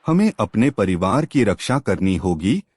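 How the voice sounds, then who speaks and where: A man voicing a loyal, protective hawk emperor in an animated story, earnest and solemn. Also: on the low side, unhurried, husky, no room sound, clean studio sound, exaggerated voice. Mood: worried.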